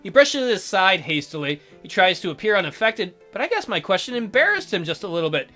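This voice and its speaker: A man with a bright voice and lively, varied pitch reads visual novel narration aloud. He is a let's play host who drops in casual remarks, with soft music playing behind him. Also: fast.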